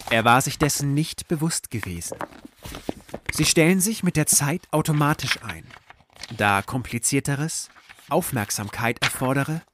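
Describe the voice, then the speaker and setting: A man reading scripted literary narration for an audio drama in a measured, deliberate storytelling manner. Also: medium pitch, a bit bright, a little hiss or hum.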